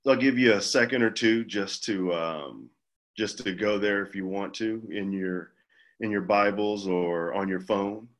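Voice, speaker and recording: A man speaking as a pastor, giving an informal Bible teaching in a measured, halting way with pauses mid-phrase. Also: lowish voice. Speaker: pastor leading a church group.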